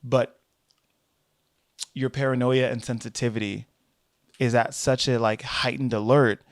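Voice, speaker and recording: A young American man hosting a solo talk show, rambling and animated, often jumping into high mocking voices to quote people. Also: a little slow, dry recording, clean studio sound. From United States. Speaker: podcast host. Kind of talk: casual solo commentary.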